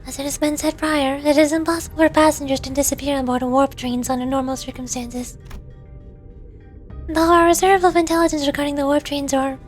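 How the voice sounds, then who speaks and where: A woman voicing a cool, formal young researcher who lectures in a measured way, her voice going hoarse. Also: sits high, natural voice. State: losing her voice.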